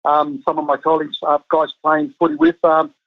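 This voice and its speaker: A man's voice: fairly high pitch, sing-song, a little fast, gravelly, dry recording. A man in his fifties, born in Chile, who coaches a national team, talking casually in an interview with many ums and restarts. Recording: muffled lo-fi dry sound.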